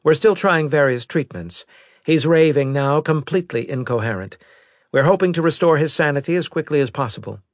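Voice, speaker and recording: A man voicing a brisk, formal female military intelligence officer giving precise reports in an audiobook. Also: fairly high pitch, lively intonation, no echo, studio quality, pitched above the performer's own voice, lightly acted.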